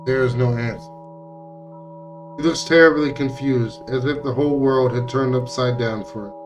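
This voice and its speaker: A man's voice: medium pitch, moderate pace, far from the mic. A man reading visual novel text aloud as dramatic narration, now and then stumbling over words and rereading them. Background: music.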